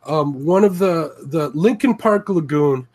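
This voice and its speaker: A man's voice: on the high side, animated, a little slow, a little mellow. A man from Chicago with a Chicago accent, hosting a fishing livestream in a rambling, self-correcting chat.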